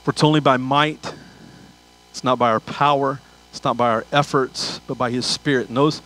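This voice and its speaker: An older pastor delivers a sermon in a mid-pitched voice. He speaks conversationally to his listeners. He repeats key phrases and poses rhetorical questions to drive each point home. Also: steady tone, moderate pace, fairly bright tone, low-quality recording. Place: large church auditorium stage.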